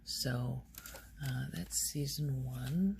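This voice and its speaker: A soft-spoken woman rambling in a halting, unhurried ASMR style, her quiet voice often close to a whisper. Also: creaky, noisy background. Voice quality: soft, low-volume voice, often near whisper. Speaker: ASMR video host.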